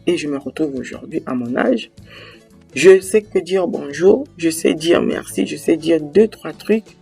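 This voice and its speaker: A man's voice: on the high side, lively intonation, measured pace, creaky, a little mellow, husky, faint music behind. A young man, speaking emphatically and repeating phrases for weight in a passionate talk. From Republic of the Congo. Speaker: host. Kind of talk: impassioned monologue on language and culture.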